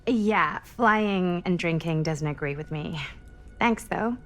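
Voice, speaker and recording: A woman's voice: on the low side, slow, fairly bright tone. Young woman, wary, polite, hesitant, plainspoken, cinematic game, background music. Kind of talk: cinematic video game acting. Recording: music under the voice.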